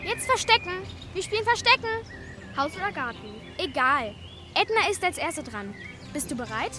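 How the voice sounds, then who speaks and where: A woman's voice: high voice, sing-song, normal speed, very noisy. A female performer playing a bossy, playful young girl in a children's radio play. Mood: bossy, excited.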